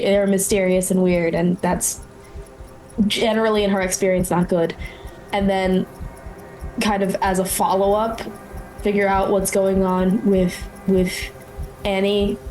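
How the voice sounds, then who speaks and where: A woman with a medium-pitched voice improvises her character's actions in a tabletop game. She speaks haltingly, thinking aloud, with faint music behind her. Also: darkish tone, rough recording. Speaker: tabletop roleplaying player.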